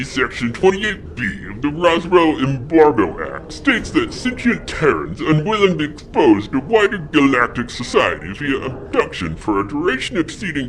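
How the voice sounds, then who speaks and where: A man's voice: medium pitch, huge pitch swings, normal speed, few pauses, fairly bright tone, a little hiss or hum, poor audio. A man voicing a brusque, officious alien police sergeant in a comedy audio drama. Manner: officious, curt.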